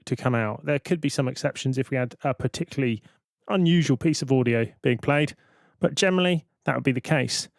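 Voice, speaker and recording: A man's voice: on the high side, no echo, studio quality. A man presenting a tutorial in an informal, explanatory way, thinking aloud as he goes. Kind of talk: informal software tutorial narration.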